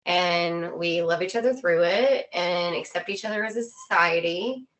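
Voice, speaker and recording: A woman with a fairly low voice shares her opinions in casual conversation. She speaks earnestly and repeats phrases to drive her point home. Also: moderate pace, a bit bright.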